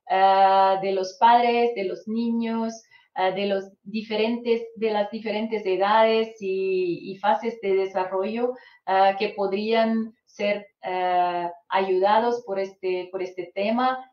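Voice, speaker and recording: A Brazilian woman whose first language is Portuguese, giving a live online lecture as a psychologist, hesitating often with "eh". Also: mid-range voice. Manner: hesitant, frequent "eh" fillers. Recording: roomy, dull lo-fi stream audio.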